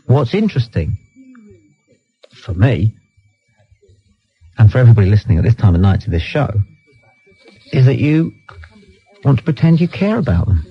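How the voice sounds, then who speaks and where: Blunt British radio host, very animated delivery. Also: male voice, medium pitch, normal speed, long pauses, slightly rough, soft background music. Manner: blunt, dismissive, repeats himself insistently. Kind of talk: argumentative late-night phone-in talk. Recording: dull lo-fi radio recording.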